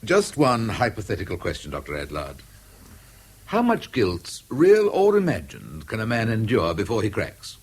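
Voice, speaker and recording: An actor playing a sceptical police inspector in a radio drama, formal, incisive and deliberate, with an English accent. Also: male voice, fairly low pitch, wildly swooping pitch, slightly rough, full character voice. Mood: probing, insistent. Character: probing, sceptical male police inspector, brusque with subordinates. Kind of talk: 1970s British radio drama acting.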